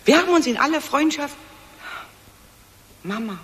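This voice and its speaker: A woman's voice: high voice, animated, moderate pace, a bit bright, a little hiss or hum. A woman playing a proud, defensive middle-aged career woman with a snappy manner in a radio drama.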